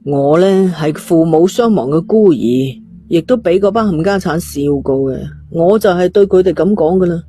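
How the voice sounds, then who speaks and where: A woman's voice: on the low side, normal speed, hardly any pauses, warm tone, husky. Woman playing a brash, irreverent young man. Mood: gleefully cursing. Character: irreverent, crude young orphaned boyfriend, loyal and teasing.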